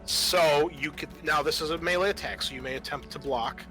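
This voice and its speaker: A man's voice: a little high, a little fast. A man narrating a tabletop roleplaying game as game master, informal and thinking aloud as he goes. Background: music.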